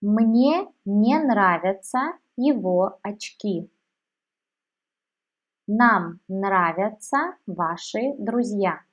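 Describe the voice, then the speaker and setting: A woman teacher speaks at an extremely slow, measured pace. She gives each word on its own, with long pauses between them. Her voice sits at a middle pitch. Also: animated, lo-fi sound. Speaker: language teacher. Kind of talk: slow, deliberate language lesson.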